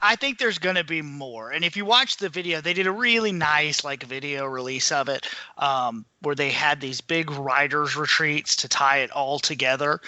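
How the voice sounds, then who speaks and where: A man's voice: a little high, normal speed, bright, forceful tone, no room sound. A man co-hosting a podcast, giving an informal, casual news rundown with some hedging. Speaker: podcast co-host.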